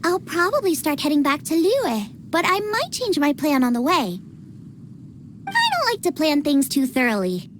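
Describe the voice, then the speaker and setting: A woman's voice: high voice, huge pitch swings, moderate pace, background noise. A woman voicing a cheerful, passionate young girl chef in an anime-style video game, bubbly and earnest. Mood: upbeat, friendly.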